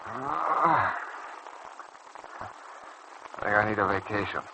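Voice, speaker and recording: A man's voice: lowish voice, expressive, slow pace, vocal fry, heavily acted. A man playing a glum, bitter young surgeon in a mid-century radio soap opera, hesitant and sceptical in manner. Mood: downcast, evasive. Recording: dull, noisy old radio recording.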